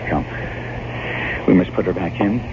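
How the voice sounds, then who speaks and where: Resolute gentleman friend, low and slow, grave, theatrical radio drama, noisy dull recording. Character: steadfast gentleman friend, commanding yet uneasy. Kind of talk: 1970s radio drama acting.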